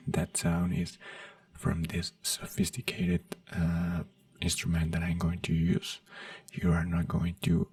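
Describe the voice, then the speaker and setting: A man speaking softly and gently as an ASMR roleplay doctor, reassuring the listener in halting, whispery phrasing. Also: sits low, vocal fry, dry recording, far from the mic. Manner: halting non-native phrasing with fillers. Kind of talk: soft-spoken ASMR roleplay.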